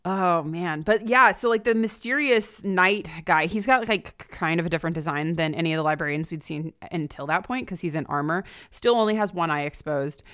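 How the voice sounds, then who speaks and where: A woman's voice: fairly low pitch, brisk, hardly any pauses, fairly bright tone, clean tone. A woman in a casual, informal discussion, speaking with enthusiasm as a podcast host. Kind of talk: casual chat.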